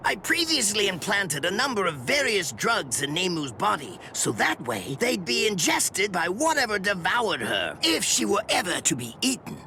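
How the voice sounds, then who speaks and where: A man's voice: high-pitched, wildly swooping pitch, moderate pace, few pauses, bright, forceful tone, a little hiss or hum, full character voice. An actor voicing a smug, sadistic mad scientist in an anime game, condescending and theatrical in his drawl. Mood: gloating, lecturing. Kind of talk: anime video game dub acting.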